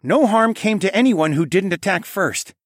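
A man's voice: sits high, animated, normal speed, no echo, clean studio sound, voice pushed up. A man voicing a reluctant but frank young prince in an audiobook. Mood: reluctant candor. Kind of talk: audiobook drama dialogue.

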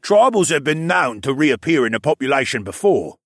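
A man acting as an earnest storybook courtier. He is formal, deferential and insistent, with animated pitch and a dry, close sound. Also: moderate pace, dry recording. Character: earnest male courtier pleading formally before royalty. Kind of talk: audiobook character acting.

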